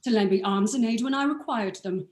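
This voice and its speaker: A woman playing a proud, guarded male ruler in a radio play, speaking formal, measured verse. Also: on the high side, darkish tone, reverberant, natural voice. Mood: stern, accusing. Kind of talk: live radio play acting in Shakespearean verse.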